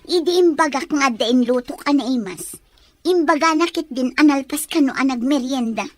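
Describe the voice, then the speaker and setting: An actress playing a petulant, scheming young daughter in an Ilocano radio drama. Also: female voice, high-pitched, normal speed, no room sound, lightly acted. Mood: complaining. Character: scheming young woman, petulant daughter obeying her mother.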